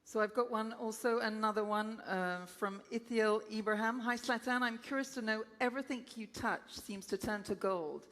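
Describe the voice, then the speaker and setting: A woman's voice: fairly high pitch, moderate pace, few pauses, fairly bright tone. A woman hosting a launch event who moderates questions in a playful, teasing way. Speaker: event host. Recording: reverberant hall.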